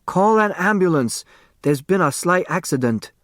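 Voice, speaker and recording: A man voicing an excitable, bossy restaurant owner and father who shouts commands in a storybook. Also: sits high, moderate pace, dry recording, studio quality, pitched above the performer's own voice. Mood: urgent.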